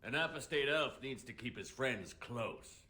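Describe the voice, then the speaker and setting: Wry, bright-voiced elf rogue mage. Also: male voice, mid-range voice, expressive, unhurried. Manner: wry, casual. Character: wry male elf apostate mage, loyal, casual. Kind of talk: video game cutscene acting.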